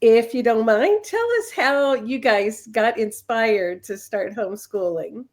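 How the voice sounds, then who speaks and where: Upbeat woman hosting a podcast, higher voice. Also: animated, unhurried. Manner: upbeat, enthusiastic. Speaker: podcast host. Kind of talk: chatty podcast interviewing.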